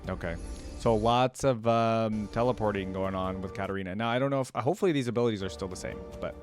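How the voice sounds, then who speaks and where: Man, lowish voice, reaction host, informal thinking-aloud commentary, light background music. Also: fast talker.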